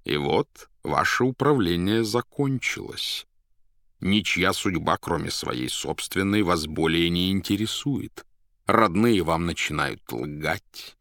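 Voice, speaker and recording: A man voicing a mysterious foreign gentleman, polite and slyly menacing, who draws out and savours his words. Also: low voice, lively intonation, slow pace, no room sound, studio quality, full character voice. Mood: sly menace.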